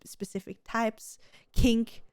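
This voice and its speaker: A woman's voice: on the high side, level tone, slow, darkish tone, clear, resonant tone. A woman co-hosting a casual podcast, chatty and giving advice, with a slight stutter and many restarts. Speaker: podcast co-host.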